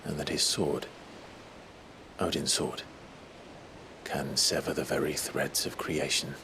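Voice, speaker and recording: An actor playing a brooding, resolute young warrior in a fantasy game, with an earnest, measured delivery. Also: male voice, extremely low pitch, level tone, vocal fry, background noise, exaggerated voice. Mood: solemn. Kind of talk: dramatic fantasy game voice acting.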